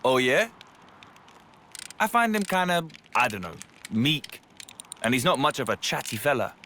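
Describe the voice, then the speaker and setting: An actor with an English accent playing a blunt, easygoing, warm-hearted young fighter in a video game cutscene, with colloquial, straight-talking delivery. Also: male voice, medium pitch, big pitch swings, unhurried, a little hiss or hum. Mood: casual, doubtful.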